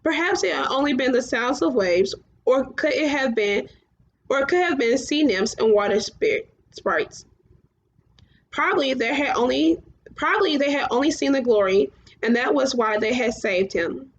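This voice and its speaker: A woman reading children's fantasy fiction aloud, somewhat halting, often stumbling and going back over phrases. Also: high voice, lively intonation, dull audio. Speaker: storybook reader.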